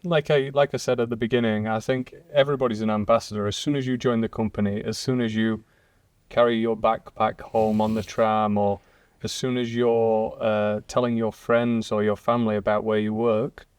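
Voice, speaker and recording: A man's voice: lowish voice, little pitch movement, normal speed, darkish tone, smooth, no echo. Upbeat man on a podcast panel. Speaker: employee ambassador.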